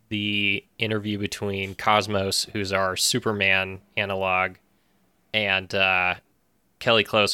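Guy guest on a podcast, lowish crisp bright voice, wry and self-deprecating, chatting casually. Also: dry recording. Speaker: podcast guest, show co-creator. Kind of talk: casual interview talk.

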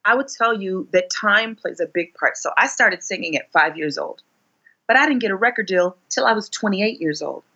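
A woman in her forties, a singer being interviewed, telling her story expressively, her voice climbing high whenever she relives a moment. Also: lively intonation, normal speed, sharp tone, lo-fi sound. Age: 40s. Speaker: guest singer.

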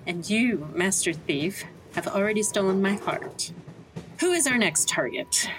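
A woman's voice: medium pitch, lively intonation, measured pace, a little hiss or hum, close to an everyday voice, poor audio. Woman playing a poised, teasing lady thief. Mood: playful, assured. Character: suave, playful, well-connected lady thief. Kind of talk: audio drama acting.

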